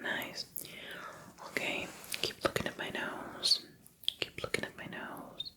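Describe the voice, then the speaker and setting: Slow, whispering woman in ASMR roleplay. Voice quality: breathy whisper. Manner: gentle, slow, repetitive instructing. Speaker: ASMR performer playing a doctor. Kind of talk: ASMR medical exam roleplay.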